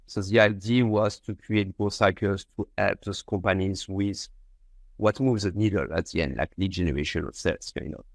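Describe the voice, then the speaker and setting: A man's voice: sits low, fairly even intonation, moderate pace, creaky, no room sound. A man from France with a French accent, explaining his business conversationally as an interview guest. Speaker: company founder, podcast guest.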